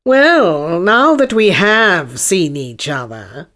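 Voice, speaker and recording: Storybook unicorn, played by a woman: lofty, dreamy and sly, slow stretched words, lively swoops. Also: on the low side, heavily acted. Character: haughty, dreamy male unicorn, eager and sly.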